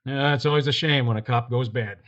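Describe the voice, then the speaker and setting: An actor playing a terse police detective who narrates the case in a clipped, matter-of-fact way. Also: male voice, mid-range voice, a little fast, a bit bright, highs cut off, close to an everyday voice. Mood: deadpan.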